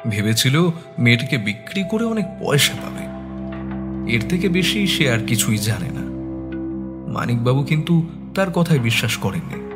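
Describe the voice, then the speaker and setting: A man narrating a detective story as the sleuth's loyal, concerned companion, in a measured dramatic style. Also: medium pitch, lightly acted. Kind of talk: scripted suspense story narration. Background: background music.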